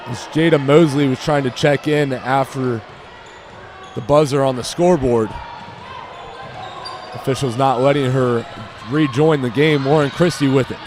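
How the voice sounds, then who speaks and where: A young American man giving live basketball play-by-play in short, clipped calls that rise to excited shouts. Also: mid-range voice, measured pace, long pauses. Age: young adult. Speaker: play-by-play commentator. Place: indoor high school gym during a game. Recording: noisy gym with loud crowd. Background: crowd noise, bouncing basketball.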